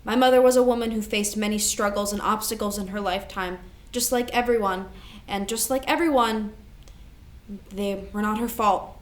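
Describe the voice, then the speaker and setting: A woman's voice: medium pitch, moderate pace, close to an everyday voice. A woman playing a responsible, composed elder sister grieving her mother in a staged play reading. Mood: solemn, measured.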